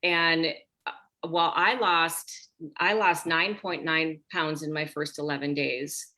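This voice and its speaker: Sixties female coach, lively group-call talker with a low voice and a bright, forceful edge. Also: slow pace. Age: 60s. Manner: animated, talks straight to the group as "you guys". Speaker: women's health coach. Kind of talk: casual group video call chat.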